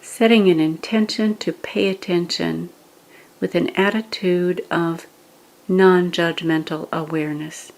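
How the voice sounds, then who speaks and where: A woman leading a guided meditation, giving scripted instructions in a gentle, measured manner with pauses. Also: fairly low pitch, steady tone, vocal fry, poor audio.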